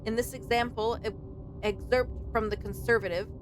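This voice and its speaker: A woman's voice: fairly high pitch, fairly even intonation, moderate pace, background noise. A woman game streamer giving casual let's play commentary, with game music behind her.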